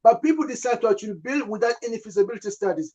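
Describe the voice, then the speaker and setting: A man's voice: extremely high pitch, very fast, warm tone. A man from the Congo, a business coach, talking animatedly and repeating words as he thinks aloud. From Democratic Republic of the Congo. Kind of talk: casual talk show discussion.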